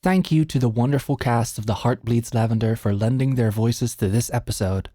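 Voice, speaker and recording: A man with a dark, mellow voice reads the closing credits in a plain, brisk way. His voice is dry and close to the mic, with faint music playing underneath. It sounds like a scripted outro. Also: mid-range voice, no room sound. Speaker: producer reading credits.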